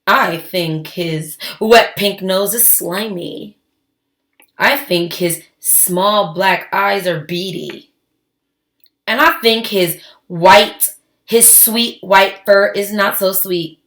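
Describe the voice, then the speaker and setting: A woman voicing a snooty, critical young girl mouse in a storybook, with a disdainful, deliberate delivery. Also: mid-range voice, fairly bright tone, roomy sound.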